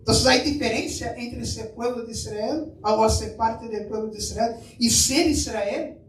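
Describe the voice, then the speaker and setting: A man's voice: very high-pitched, moderate pace. A man from Brazil preaching a sermon, mixing Portuguese with Spanish and putting questions to the congregation. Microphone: far from the mic. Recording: echoey room, mic far off, faint noise.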